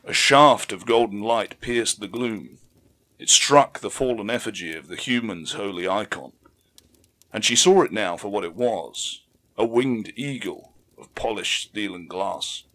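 Male audiobook narrator, lower-pitched voice, dramatic scripted delivery for action fiction. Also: unhurried, a bit bright.

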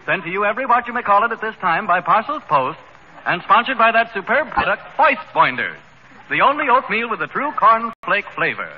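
A man's voice: high voice, very animated, brisk, slightly rough, exaggerated voice. A man playing a pompous crime-show announcer in a declamatory 1930s radio comedy style. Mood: mock grandiose. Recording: noisy, muffled 1930s radio recording.

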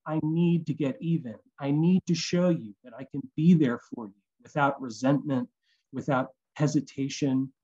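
A man in his thirties reading poetry in a measured, deliberate way over a video call. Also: fairly high pitch, darkish tone, slightly rough. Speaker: poet. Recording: dull, muffled video-call audio.

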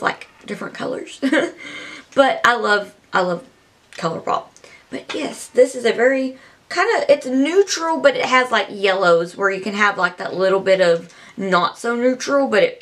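A woman's voice: fairly high pitch, a bit bright. Chatty young woman with scratchy voice. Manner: chatty, rambling, enthusiastic. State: scratchy voice from allergies. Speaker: beauty vlogger. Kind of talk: casual talk to camera. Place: small indoor room.

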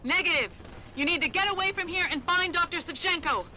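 Young female pilot: very sharp bright voice, confident, wry, game dialogue over music. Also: very high-pitched, a little fast, exaggerated voice. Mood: urgent. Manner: confident, teasing, clipped radio-call phrasing. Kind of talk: video game acting.

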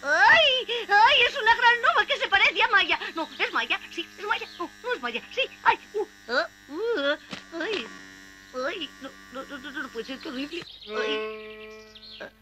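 Cartoon bee boy, small and timid: very high, plaintive whine, music underneath. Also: lively intonation, a little slow, sharp tone, full character voice. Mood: startled disbelief.

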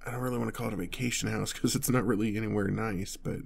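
A male actor performs a protective boyfriend on the run in an intimate audio roleplay. His voice is husky and creaky at a middling pitch, with little melodic movement. He stammers under pressure, urgent yet tender toward his partner. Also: steady tone, fast. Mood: calming, weary.

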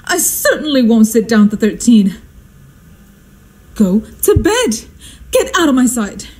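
A woman acts out an imperious society hostess in a radio play. Her voice is high and swings widely, with drawn-out, slow delivery. She is melodramatic, easily outraged and forever scolding. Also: sing-song, darkish tone, breathy edge, full character voice. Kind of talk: radio drama acting.

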